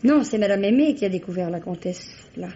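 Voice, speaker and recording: An actress playing a plain-spoken young housemaid in a French radio mystery play, artless and direct. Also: female voice, medium pitch, lively intonation, fairly quick, dark tone, natural voice. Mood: artless, matter-of-fact. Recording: dull old radio recording.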